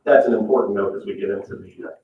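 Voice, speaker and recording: A man giving a measured, explanatory public presentation as a landscape architect at a meeting, with somewhat hesitant phrasing. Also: fairly low pitch. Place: indoor public meeting room. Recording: roomy, distant mic, dull sound with noise.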